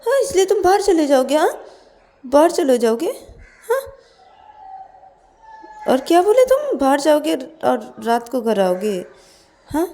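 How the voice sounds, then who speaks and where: A woman's voice: high voice, big pitch swings, a little slow, long pauses, pitched above the performer's own voice, heavily acted. A woman voicing a stern young woman who scolds and threatens with bossy questions in an audio story. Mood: angry, scolding. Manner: bossy, sharp rhetorical questioning.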